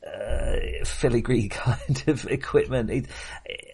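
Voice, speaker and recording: A man's voice: medium pitch, slow, creaky, a little mellow, husky. A man in casual film discussion as a podcast co-host, hesitant and self-deprecating in manner.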